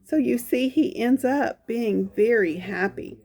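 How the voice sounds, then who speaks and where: Lady doing kids storytime, kinda high voice, really unhurried, folksy chatty asides and questions. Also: warm tone. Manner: folksy, exclaiming, coaxing with questions. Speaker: children's storytime reader. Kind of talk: reading a picture book aloud to young children with chatty asides.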